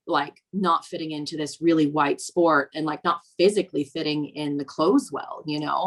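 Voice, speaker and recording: Casting: young woman, low voice with a gravelly edge, thoughtful, filler-heavy. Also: a bit bright. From Virginia, USA. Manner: reflective, with many fillers like "um" and "you know". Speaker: snowboarder interviewee. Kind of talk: documentary interview.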